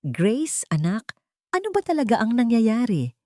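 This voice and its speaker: A woman's voice: a little high, very animated, unhurried, warm tone, no room sound, studio quality, voice pushed up. A woman voicing a worried, confused mother in an audio drama, anxious and questioning.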